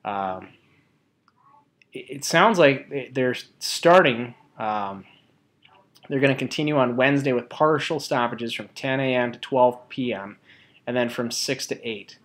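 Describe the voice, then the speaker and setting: Male presenter, mid-pitched voice, informal and colloquial, halting delivery as he talks through slides. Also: a bit bright.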